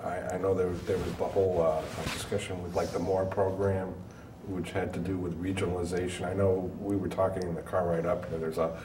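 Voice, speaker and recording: Low-voiced hesitant man, echoey room. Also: flat delivery, brisk, warm tone. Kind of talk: casual panel discussion. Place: small TV studio, round table. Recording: roomy, distant mic, faint noise.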